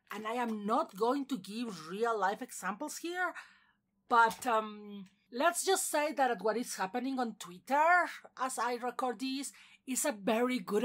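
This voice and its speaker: Woman doing a scripted movie video essay, kinda high voice, wry and emphatic. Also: a bit bright. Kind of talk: scripted film commentary.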